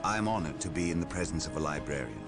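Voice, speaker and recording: A man's voice: rumbling low, expressive, fast talker, gravelly, exaggerated voice. An actor playing a deferential imperial guard colonel in a game cutscene, addressing his superiors respectfully. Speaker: game character. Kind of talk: video game cutscene acting. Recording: game cutscene with music underneath.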